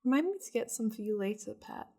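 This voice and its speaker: A woman voicing a seductive, possessive demon lady in an ASMR roleplay, languid and teasing, slipping into breathy half-whispers. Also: on the high side, animated, fast, warm tone. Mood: playful, doting. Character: seductive, possessive powerful demon lady doting on her pet.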